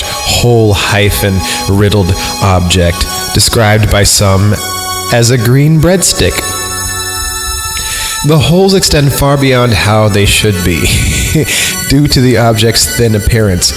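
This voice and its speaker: A man's voice: on the low side, expressive, slow pace. A man hosting a podcast, casually reading horror stories aloud with rambling, sardonic, profane asides. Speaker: podcast host. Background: music.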